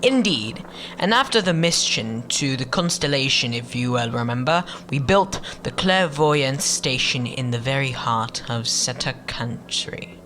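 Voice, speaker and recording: An actor playing an anxious, deferential advisor in a sci-fi audio drama, formal, with a hesitant stammering delivery. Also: male voice, medium pitch, expressive, a little slow, sharp tone, slight background noise. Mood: uneasy briefing.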